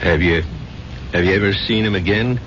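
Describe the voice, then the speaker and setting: An actor playing a genial, philosophical barkeeper with gentle concern, in a 1970s radio drama. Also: male voice, deep voice, moderate pace. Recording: dull, noisy old radio broadcast.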